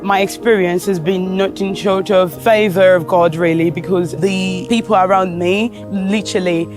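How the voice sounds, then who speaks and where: A woman's voice: mid-range voice, steady tone, normal speed. A woman from Nigeria, a postgraduate student speaking sincerely and gratefully. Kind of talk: personal testimony. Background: music bed.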